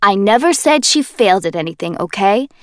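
A woman reading an audiobook voices a brash, joking young man who gets loud, crude and exasperated. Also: medium pitch, lively intonation, brisk, dry recording, clean studio sound, natural voice. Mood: indignant. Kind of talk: audiobook character voice.

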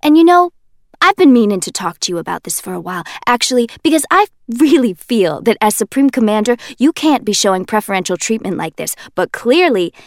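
A woman reading an audiobook voices a brash, joking young man who gets loud, crude and exasperated. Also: a little high, sing-song, fairly quick, no room sound, studio quality. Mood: indignant. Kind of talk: audiobook character voice.

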